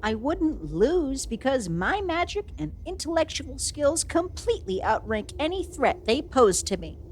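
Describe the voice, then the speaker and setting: A woman's voice: sits high, sing-song, a little slow, darkish tone, slight background noise. A woman playing a proud, youthful immortal villain sorceress in a fantasy audio roleplay, theatrical, haughty and easily put on the defensive. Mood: defensive, indignant.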